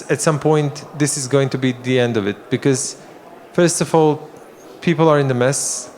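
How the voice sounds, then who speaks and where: A man's voice: on the high side, slight background noise. A man moderating a conference panel, talking with frequent restarts and fillers. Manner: halting, many restarts. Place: conference hall.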